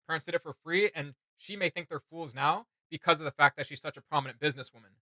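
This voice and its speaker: A man's voice: on the high side, fast, sharp tone, dry recording, studio quality. A man in his thirties hosting a casual reaction chat, talking in an enthusiastic, slangy way. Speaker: host of a reaction channel. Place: small home studio room, indoors.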